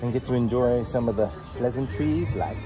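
A man in his forties talking casually to a camera outdoors, with a dry, deadpan sense of humour. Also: mid-range voice, normal speed, music in the background. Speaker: vlogger on a sailing channel. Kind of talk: casual vlog chat. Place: outdoors, on a sailboat deck and around town.

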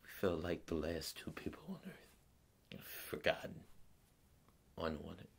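A teenage male performer playing a grieving, bitter ex-convict father in a halting monologue. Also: low voice, sing-song, vocal fry, slightly rough, whispered, exaggerated voice. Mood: tender, sorrowful. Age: teenager playing older. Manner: halting, hushed delivery that strains into bursts.

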